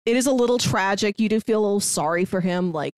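Female co-host, mid-range voice, animated and conversational film discussion, very dry sound. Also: medium pitch, fast, dry recording. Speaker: film review podcast co-host.

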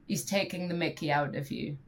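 A woman's voice: low voice, fairly quick. A British woman guest with a head cold that makes her sound nasal, playfully quizzing her hosts on slang in a podcast chat. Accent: British English. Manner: playful, teasing with slang quiz. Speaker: guest, regional sales executive. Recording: faint background noise.

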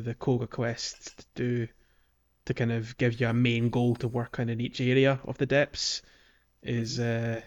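A man co-hosting a podcast, chatting casually about a video game and filling pauses with ums. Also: mid-range voice, highs cut off. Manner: relaxed, many ums, walks through game areas. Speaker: podcast co-host.

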